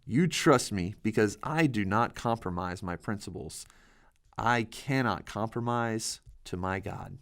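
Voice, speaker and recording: A man's voice: lowish voice, unhurried, vocal fry, a bit bright. A man voicing an elderly, devout and steadfast Hebrew official in a Bible storybook reading.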